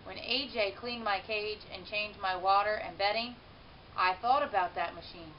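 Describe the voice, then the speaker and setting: A woman's voice: medium pitch, normal speed. Woman reading a storybook through muffled hiss. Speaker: teacher reading a children's book aloud. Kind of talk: expressive storybook narration. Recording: muffled, hissy home-style recording.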